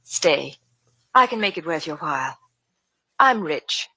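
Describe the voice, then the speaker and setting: A rich, earnest wife in a vintage radio drama. She is refined and anxious, with a fairly low voice in a dry studio sound. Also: expressive, takes it slowly, bright, forceful tone, dry recording, full character voice. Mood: pleading. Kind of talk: 1950s American radio drama acting.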